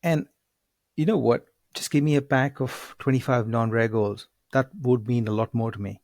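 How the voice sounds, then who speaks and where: A man's voice: medium pitch, fairly even intonation, normal speed, darkish tone, no room sound, clean studio sound. A man co-hosting a podcast, talking in a chatty, enthusiastic way. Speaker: podcast co-host. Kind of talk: casual podcast chat.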